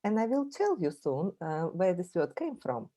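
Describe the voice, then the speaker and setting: Warm-voiced Russian woman presenting. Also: fairly low pitch, big pitch swings, normal speed. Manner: friendly, chatty with frequent fillers and restarts. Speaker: guest speaker, language teacher. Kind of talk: online presentation. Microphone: video call.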